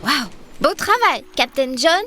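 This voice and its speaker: A woman voicing a plucky, adventurous young girl explorer in a children's cartoon, speaking in an eager, animated, explanatory way. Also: high voice, a little slow, over music. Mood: delighted.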